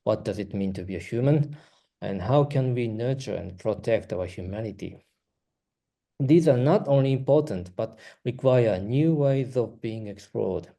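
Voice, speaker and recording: A man with a Japanese accent, whose first language is Japanese, giving a prepared academic talk as a researcher, reading carefully with brief pauses. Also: medium pitch, animated, vocal fry, mellow.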